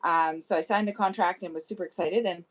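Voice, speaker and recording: Canadian woman, chiropractor guest, cheerful, lower voice, muffled call audio. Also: fairly even intonation, a little fast. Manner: cheerful, laughs easily. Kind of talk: casual interview chat. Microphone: remote call.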